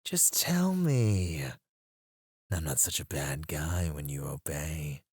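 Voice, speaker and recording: A man voicing a menacing male mercenary interrogator in an ASMR roleplay, speaking in a low, taunting way close to the mic, sometimes dropping to a whisper. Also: animated, slow pace, a little mellow, husky, no echo, exaggerated voice.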